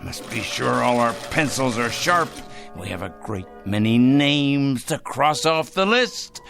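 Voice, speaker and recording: Fussy, excitable fanatical crank. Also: male voice, medium pitch, unhurried, gravelly, over music. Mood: gleeful muttering. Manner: fussy, excitable, repeating himself emphatically. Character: fanatical, self-righteous male crank obsessed with punishing evil. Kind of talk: radio drama acting.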